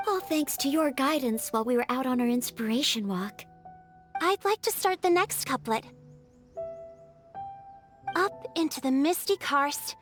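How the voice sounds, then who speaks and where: Polite game heroine, high voice, slow careful delivery, modest, soft music behind. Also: female voice, lively intonation. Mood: gracious. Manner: courteous, careful. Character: polite, earnest, modest young woman reciting poetry. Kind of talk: video game voice acting.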